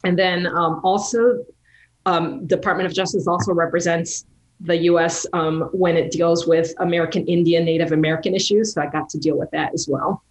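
A woman's voice: lowish voice, fairly even intonation, moderate pace, poor audio. A woman guest in a casual interview, speaking thoughtfully with frequent fillers.

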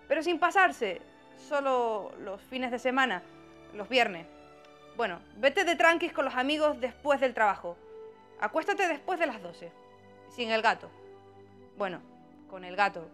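A woman's voice: on the high side, animated, a little slow, fairly bright tone, soft background music. An actress with an accent from Spain playing a grumpy, self-pitying young woman, dramatic and dryly sarcastic, in a scripted comedy. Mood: wry, dry. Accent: Spanish (Spain). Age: young adult.

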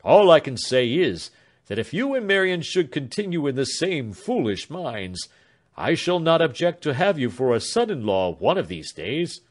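A man's voice: fairly high pitch, very animated, moderate pace, pitched above the performer's own voice. A man reading an audiobook voices a jovial older doctor, hearty and rambling. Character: jovial older male doctor, blustering and fatherly.

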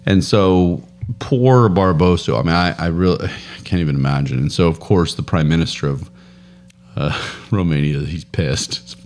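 A man in his thirties with a very deep voice, chatting casually as a podcast co-host. He talks into a close studio boom mic in a home room, rambling through stories and laughing often. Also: moderate pace, darkish tone. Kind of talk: casual chat. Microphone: boom studio mic with headphones.

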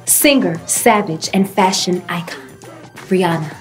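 A self-important female modelling show host , played by a woman, speaks in a lowish voice with grand, dramatic pauses. It is a reality show parody, with music behind her. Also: lightly acted. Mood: grand, solemn. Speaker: host and head judge.

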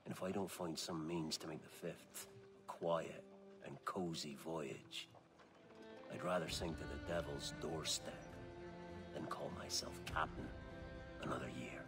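A man's voice: very deep voice, music in the background. An actor playing a menacing, weary pirate captain in his forties, with a theatrical delivery for a video game. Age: 40s. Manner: theatrical, deliberate, swinging from roars to hushed growls. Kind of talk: video game dramatic acting.

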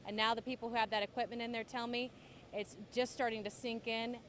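An American woman in her thirties delivers a TV news report in a fairly high voice. There is light outdoor noise behind her as she works as a field reporter. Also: level tone, brisk, fairly bright tone. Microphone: handheld reporter microphone.

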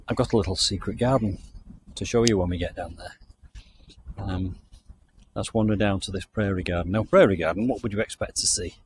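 Man from the UK, lowish voice, chatty garden tour, outdoors, camera mic, faint background hiss. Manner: chatty, enthusiastic, thinking aloud. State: hot and overdressed while walking. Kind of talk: walking tour narration. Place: outdoors in a large country garden. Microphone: handheld camera mic, walking.

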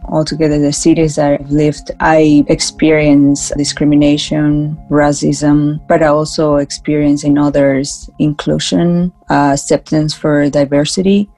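A woman's voice: deep voice, little pitch movement, unhurried, hardly any pauses, dark tone, faint music behind. A woman from Ecuador, speaking in a composed, matter-of-fact way in an interview. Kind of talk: documentary interview.

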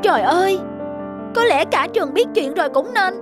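A woman's voice: extremely high pitch, animated, moderate pace. A woman voicing an anxious, insecure chubby schoolboy in a cartoon, fretful and self-questioning. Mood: worried. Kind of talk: cartoon dubbing. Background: prominent music.

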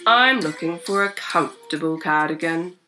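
A woman voicing a polite, weary, grumbling old grandpa in a slow, plaintive way for a storybook. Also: low voice, pushed and bright, faint music behind.

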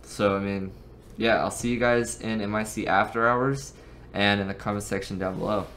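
A man's voice: lowish voice, steady tone, moderate pace, a bit bright. A seventeen-year-old young man talking casually and earnestly in an informal recap vlog. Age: seventeen, late teens. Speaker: amateur trader, video creator. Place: small bedroom, indoors. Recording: home webcam recording with background noise.

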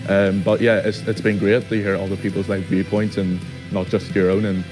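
A man's voice: low-pitched, level tone, fairly quick. A seventeen-year-old young man giving a personal testimonial for a video. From Nigeria, raised in Northern Ireland. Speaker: programme participant. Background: guitar music.

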